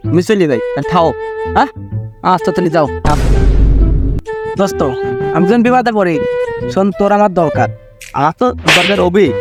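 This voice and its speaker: A man playing a chatty, cajoling village creditor in a comic skit, with a rural Bangladeshi accent and a voice-changer effect. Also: sits high. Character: talkative village man chasing a small debt from friends. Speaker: comedy skit performer. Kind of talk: comic dialect skit dialogue.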